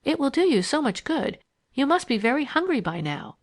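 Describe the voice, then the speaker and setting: A woman voicing a gentle, timid, caring girl in a storybook, earnest and a little breathless. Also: medium pitch, big pitch swings, fast talker, slightly rough. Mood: coaxing.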